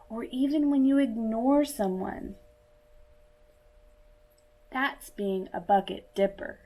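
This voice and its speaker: A woman reading a picture book aloud to young children in a deliberate, teacherly manner, as if speaking directly to her listeners. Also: mid-range voice, vocal fry, dark tone, low-quality recording. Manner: asks listeners questions and pauses for answers. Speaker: children's story reader.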